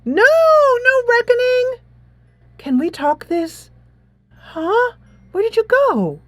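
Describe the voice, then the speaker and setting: A woman voicing a sweet, anxious high school girl in a video game, hesitant and eager to please. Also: very animated, takes it slowly, dry recording, clean studio sound, full character voice. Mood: startled, worried. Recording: music playing behind.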